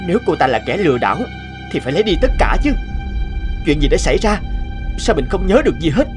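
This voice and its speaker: A man's voice: on the high side, very animated, fast, over music. A man playing a bewildered young victim in a cartoon, exclaiming in melodramatic fashion. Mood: puzzled. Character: panicked young man waking robbed of a kidney.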